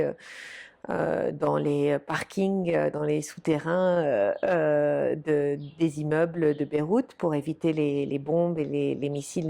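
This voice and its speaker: A woman's voice: deep voice, gravelly, dark tone. A woman in her forties, from Lebanon and raised in Canada, answering interview questions thoughtfully, with many fillers and restarts. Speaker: humanitarian official, interview guest.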